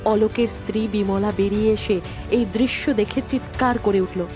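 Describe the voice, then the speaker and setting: A woman's voice: mid-range voice, steady tone, moderate pace. A woman reading scripted narration for a dramatic story. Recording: music behind the voice.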